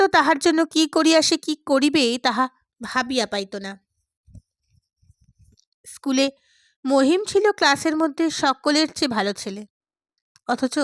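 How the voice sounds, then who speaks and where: A woman's voice: sits high, no room sound, clean studio sound. A woman reading a literary story aloud as scripted narration. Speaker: audiobook narrator.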